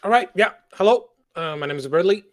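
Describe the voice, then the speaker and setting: A man from Norway with a Norwegian accent, speaking English as a podcast guest in a friendly, halting way. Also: sits high, animated. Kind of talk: casual podcast chat.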